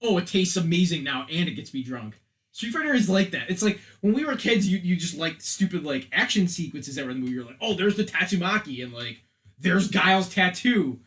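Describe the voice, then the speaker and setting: Higher-voiced man in casual podcast chat. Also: sing-song, a little fast, fairly bright tone. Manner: rambling, profane, joking comparisons. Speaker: podcast host. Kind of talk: casual chat about films and video games.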